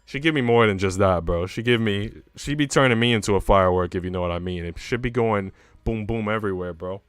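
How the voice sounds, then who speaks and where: A man's voice: lowish voice, quick pace, smooth, no room sound. A man livestreaming in casual chat, slangy and bantering with mock outrage.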